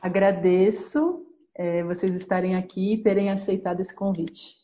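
A woman's voice: medium pitch, fairly even intonation, normal speed, lo-fi sound. A woman with a Brazilian Portuguese accent, an astrologer hosting a podcast, explaining her subject step by step in a teaching manner. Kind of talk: podcast lesson.

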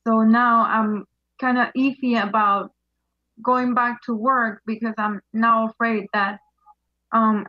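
A woman's voice: medium pitch, no echo, dull audio. A woman in her twenties, a guest on an online interview, speaking hesitantly. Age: 20s. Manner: hesitant, soft-spoken.

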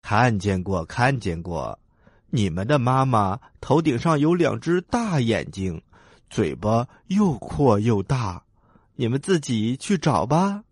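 A man voicing a kindly, helpful mother duck in a children's story, gentle and unhurried. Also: mid-range voice, very animated, husky, dry recording, studio quality, pitched below the performer's own voice, heavily acted. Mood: fond, smiling.

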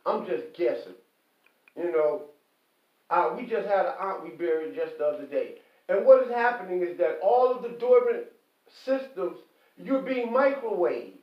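A man delivering an impassioned, preachy monologue, emphatic and repetitive, often pausing to ask "okay?". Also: high-pitched. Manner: preachy, quotes scripture. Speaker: online commentator. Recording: echoey, distant, dull lo-fi.

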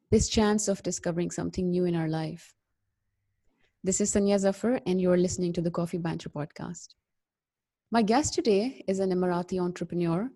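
A woman hosting a podcast, reading a measured scripted intro before moving into upbeat interview questions. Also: on the low side, warm tone. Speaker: podcast host.

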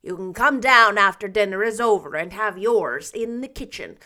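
A woman's voice: medium pitch, lively intonation, moderate pace, pushed and bright. A woman reading a story, voicing a strict older woman guardian who scolds and gives firm commands. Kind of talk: audiobook character reading.

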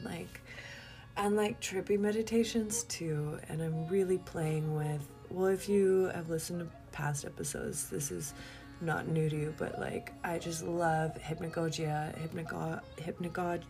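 A woman hosting a solo podcast in a casual, confessional way, rambling candidly and giggly, often trailing off into breathy murmurs. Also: lowish voice, darkish tone. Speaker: podcast host.

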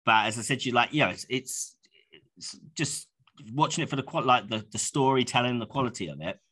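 A man's voice: medium pitch, fairly quick, sharp tone, breathy edge. A man co-hosting a casual podcast, stuffed up with a cold, rambling with many restarts. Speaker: podcast co-host.

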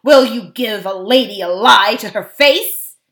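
A woman's voice: medium pitch, wildly swooping pitch, a little slow. A woman voicing a proud, hot-tempered young king in a storybook, speaking in a lofty, archaic, impassioned way. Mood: outraged.

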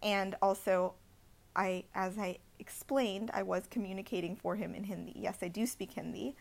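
A young woman from India co-hosts a podcast and tells a story in a casual, animated way, full of "like" fillers. Her voice sits in a middle pitch and her speech runs on freely. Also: moderate pace, vocal fry. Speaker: podcast co-host. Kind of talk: casual chat.

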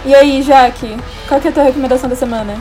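A woman's voice: high voice, fairly even intonation, quick pace, music in the background. A young woman with a Brazilian accent hosting a casual podcast in an enthusiastic, slangy way. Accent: Brazilian Portuguese. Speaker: podcast host.

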